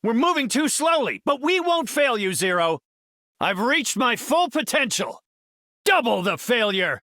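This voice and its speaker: A man's voice: very high voice, big pitch swings, a little slow, bright, forceful tone, dry recording, clean studio sound, exaggerated voice. A male actor playing a confident, middle-aged scientist superhero in a video game, speaking in an articulate, earnest, scholarly way. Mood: heroic, urgent. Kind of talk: heroic video game voice acting.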